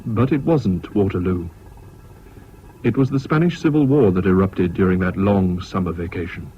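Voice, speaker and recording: A man's voice: low voice, moderate pace, lightly acted. An actor playing an earnest young English student who recalls his past in a British radio drama. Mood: measured, reflective. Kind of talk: 1970s British radio drama acting and narration. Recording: dull, hissy old radio recording.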